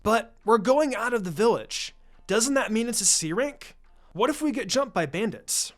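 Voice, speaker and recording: A man voicing an eager, brash teenage ninja boy in a story reading, excitable and informal. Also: extremely high pitch, normal speed, faint music behind, pitched above the performer's own voice. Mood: eager, protesting. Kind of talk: storybook-style character reading.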